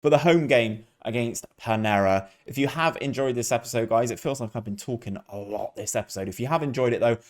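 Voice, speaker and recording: A man's voice: mid-range voice, brisk, hardly any pauses. A man hosting a gaming video, giving casual, chatty play-by-play and talking directly to his viewers. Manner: chatty, addresses viewers as guys.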